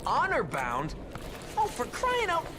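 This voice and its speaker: An actor playing an earnest teen mutant turtle leader with a firm, commanding manner. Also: male voice, very high voice, huge pitch swings, slow, background noise, heavily acted.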